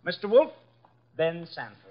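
An actor playing a breezy, cocky detective's assistant with brisk slangy talk, in a 1950s radio drama. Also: male voice, fairly high pitch, big pitch swings. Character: breezy, wisecracking tough male detective's assistant. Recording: dull vintage 1950s radio recording.

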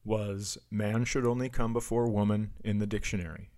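A man's voice: fairly low pitch, level tone, normal speed, dark tone. A man chatting and joking casually as a comedy podcast co-host.

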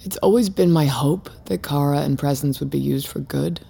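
An actress playing a grave, resigned technology creator in an audio drama, speaking deliberately and solemnly in a gravelly voice. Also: female voice, sits low, mellow, heavily acted. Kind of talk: naturalistic audio drama acting.

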